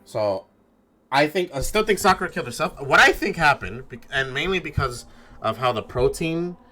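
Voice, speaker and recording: A man's voice: fairly high pitch, expressive, moderate pace, sharp tone. A man talking casually and informally as a game streamer, swearing and thinking aloud through his theories. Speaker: streamer playing a mystery game.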